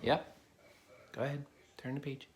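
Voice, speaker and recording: A man's voice: medium pitch, completely flat delivery, unhurried. A man reading a children's picture book aloud, explaining things simply as he talks to a child. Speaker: adult reading to a child helper. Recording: clear background noise.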